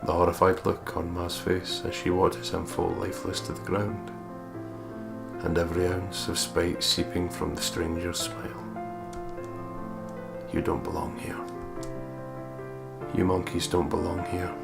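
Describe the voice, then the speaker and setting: Deep, creaky-voiced man reading game narration. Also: level tone, measured pace, pauses often. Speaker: let's play co-host. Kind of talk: reading game narration aloud, casual commentary. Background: game music.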